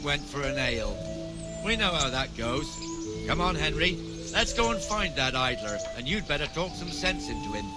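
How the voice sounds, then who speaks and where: Gruff older town guard, talkative, very animated male delivery, game dialogue over loud score. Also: a little high, normal speed. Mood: stern. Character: gruff, chatty older town guardsman showing newcomer around. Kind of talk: video game dialogue acting. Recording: loud music under the voice. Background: loud music.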